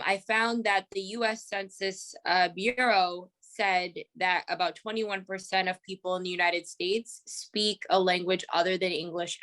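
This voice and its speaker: A woman hosts a podcast and introduces the episode. She sets out facts in a tidy, prepared way, speaking in a voice of ordinary pitch with no unusual traits. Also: bright, forceful tone, low-quality recording. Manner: clear and organized, presents facts. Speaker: podcast host.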